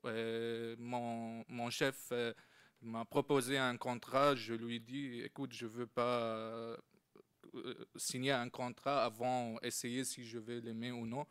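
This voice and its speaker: Man, non-native French speaker, resonant smooth voice, careful, halting pace. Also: medium pitch, level tone, a bit bright. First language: not French. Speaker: researcher panelist. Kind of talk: personal account on a panel. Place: conference hall stage.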